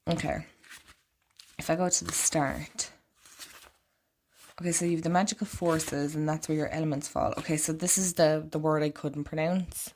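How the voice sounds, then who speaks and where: Chatty, slightly croaky woman vlogger. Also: low voice, steady tone, moderate pace, long pauses, a little mellow. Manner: chatty and rambling, lots of "like". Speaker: oracle card reader and vlogger. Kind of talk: casual deck walkthrough.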